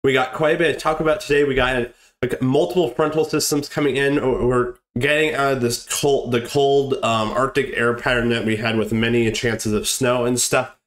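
A man's voice: medium pitch, fairly even intonation, normal speed, few pauses. A young man getting over a cold, casually walking through a weather forecast with lots of ums and restarts. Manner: rambling, many ums and restarts.

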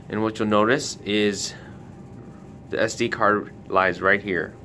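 A man's voice: fairly even intonation, takes it slowly, sharp tone. A man hosting a casual hands-on product tutorial, giving careful step-by-step instructions. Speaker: tutorial host for a retailer. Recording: noticeable background noise with low rumble.

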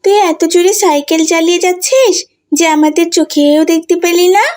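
A woman voicing an excitable, bossy little girl in a children's cartoon, chatty and expressive. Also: very high voice, normal speed. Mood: annoyed, bossy.

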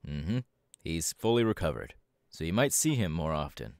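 A man playing a proud, laid-back superhero mentor in an ASMR audio roleplay, talking casually with drawling, hesitant pauses. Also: a bass voice, very animated, vocal fry, clear, resonant tone, no echo, clean studio sound, full character voice. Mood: casual, explaining.

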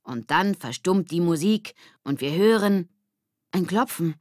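A woman voicing an imaginative, earnest young schoolgirl in an audiobook, speaking in a dreamy, deliberate way. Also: fairly low pitch, animated, a little mellow, no echo, studio quality. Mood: dreamy, dramatic.

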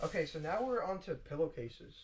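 A young man vlogging as he digs through a storage unit, chatty and excitable, often exclaiming in surprise. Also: fairly high pitch, a little fast. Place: indoor storage facility hallway. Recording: noisy handheld camera audio.

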